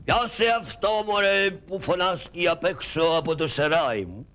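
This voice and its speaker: Pasha for a shadow-puppet play: bossy, irritable, broken speech, bright tense voice, done by a man. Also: high voice. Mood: imperious. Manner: broken Greek mixed with Turkish words, commanding. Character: blustering Turkish-speaking male pasha, irritable. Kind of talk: Greek shadow-puppet theatre.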